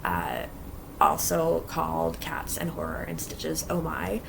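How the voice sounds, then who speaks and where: Woman, low gravelly voice, chatty and rambling, slightly stuffy, noisy home recording. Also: big pitch swings, slow. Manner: chatty, rambling, many fillers. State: stuffy from allergies. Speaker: cross-stitch vlogger. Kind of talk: casual rambling chat. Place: home basement craft area.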